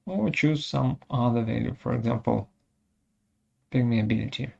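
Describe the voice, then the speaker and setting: A presenter of unclear sex gives a step-by-step technical software demonstration in short, halting phrases, recorded as muffled webinar audio. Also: medium pitch, creaky, slightly rough.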